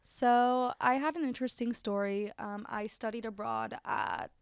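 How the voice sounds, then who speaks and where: A woman's voice: fairly high pitch, measured pace, smooth, no echo, studio quality. A young American woman in her twenties, a podcast guest talking in a chatty, upbeat way with lots of likes and ums. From United States. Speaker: podcast guest, community founder. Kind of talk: casual interview chat.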